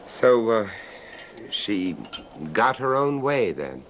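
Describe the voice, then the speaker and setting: An actor playing a calm, tactful confidant who asks measured, hesitant questions in a 1970s radio drama. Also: male voice, medium pitch. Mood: curious, tactful. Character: calm, tactful male confidant, quietly probing listener. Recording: noisy 1970s radio broadcast recording.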